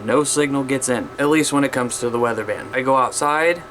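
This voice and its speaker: A man's voice: mid-range voice, brisk. An American man chatting casually and unscripted to his viewers, rambling in a folksy way and repeating himself. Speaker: amateur radio hobby vlogger. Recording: clear steady background noise.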